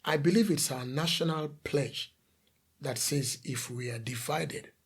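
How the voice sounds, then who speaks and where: Gravelly, husky male pastor preaching. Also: on the high side, measured pace. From The Gambia. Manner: emphatic preaching, rhetorical questions, lists of repeated phrases. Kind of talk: sermon.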